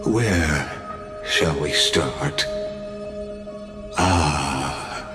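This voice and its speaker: An actor playing a grandiose, courteous synthetic scholar in a game, with eloquent, formal and theatrical delivery. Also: male voice, rumbling low, extremely slow, heavily acted. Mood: theatrical, polite. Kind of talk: scripted game dialogue. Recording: roomy echo, music behind.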